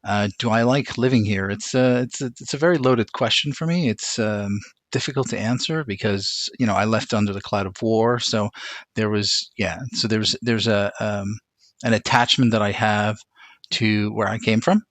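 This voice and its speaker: A man from Lebanon answering interview questions conversationally, pausing often as he thinks things through in a measured, reflective way. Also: lowish voice, a bit bright, no echo. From Lebanon, immigrated to Montreal as a teenager. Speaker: interview guest, corporate aviation operations manager.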